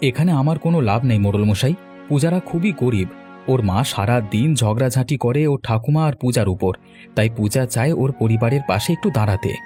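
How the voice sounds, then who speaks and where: A man's voice: medium pitch, expressive, brisk, mellow, soft background music, natural voice. A man voicing a helpful young village man in a cartoon, friendly, concerned and reassuring. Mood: pleading. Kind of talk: cartoon voice acting.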